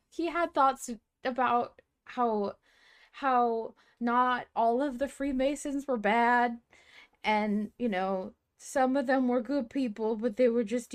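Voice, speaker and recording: A woman chatting casually and playfully as the host of a fan podcast, in an informal way. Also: on the high side.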